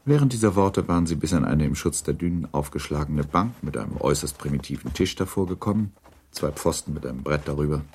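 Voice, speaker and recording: A man narrating a literary radio drama in a measured storytelling manner. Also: rumbling low, animated, darkish tone, slightly rough.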